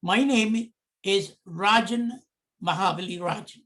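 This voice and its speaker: A middle-aged man from Sri Lanka with a Sri Lankan accent, giving a prepared public talk in slow, deliberate phrases. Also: sits high, a bit bright. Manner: slow, deliberate, measured phrasing in short pieces. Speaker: commentator.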